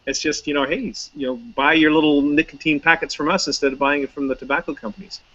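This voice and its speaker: A man's voice: a little high, steady tone, quick pace, bright, forceful tone. Chatty man on a lo-fi web call. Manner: chatty, anecdotal, many fillers and restarts. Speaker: hypnotist, guest. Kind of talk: casual interview talk.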